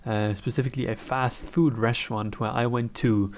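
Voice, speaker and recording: A man's voice: mid-range voice, steady tone, normal speed. A young man in his twenties with a German accent, chatting casually as he reacts to a video, rambling and restarting his sentences. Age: 20s.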